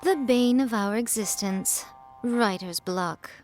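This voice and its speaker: A woman voicing a sly, elegant fox spirit who mentors with smooth, unhurried teasing. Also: mid-range voice, over loud music, lightly acted. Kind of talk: video game cutscene voice acting.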